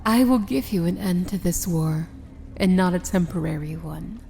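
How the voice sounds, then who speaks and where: A woman playing a proud, dangerous evil princess in an ASMR fantasy romance roleplay, speaking softly and formally with a breathy, measured, regal delivery. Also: fairly low pitch, slight background noise. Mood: solemn, resolute.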